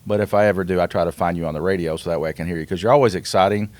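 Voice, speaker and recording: A man with a low voice talks quickly in casual chat as a podcast co-host. The sound is dry and close, with little room around it. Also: fairly even intonation, no room sound.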